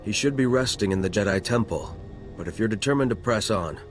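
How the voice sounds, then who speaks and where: An actor playing a principled, disapproving apprentice monk with grave, formal speech in a video game. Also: male voice, on the low side, fairly quick, faint music behind, close to an everyday voice.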